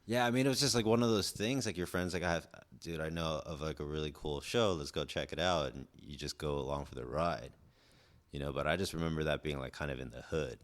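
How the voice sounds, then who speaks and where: A man in his forties with an extremely low, deep voice chats casually as a podcast guest. He rambles in a laid-back way, with lots of "like" and "dude". He grew up in Southern California after being born in Indonesia. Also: fast. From born in Indonesia, raised in Southern California. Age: 40s. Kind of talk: casual chat.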